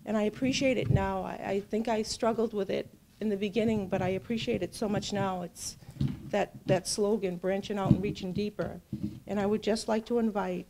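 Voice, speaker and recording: A woman at a podium introduces each speaker in a slow, halting, heartfelt way. Clear background noise from the hall runs under her voice. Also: mid-range voice, fairly even intonation. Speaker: event organizer and emcee. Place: large community function room. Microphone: podium microphone.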